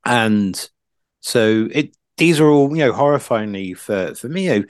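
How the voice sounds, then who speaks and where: A man chatting casually as a football pundit, thinking aloud in a halting, circling way. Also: mid-range voice, animated, clear, resonant tone, no echo, clean studio sound.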